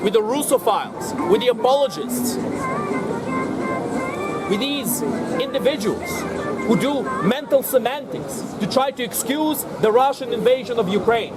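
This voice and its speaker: High-pitched emphatic man speaking at street rally. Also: animated, moderate pace, loud music behind the voice. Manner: emphatic, declamatory, repeats phrases. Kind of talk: impassioned public speech at a street rally. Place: outdoors in an urban public space. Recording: noisy outdoor street with crowd and traffic.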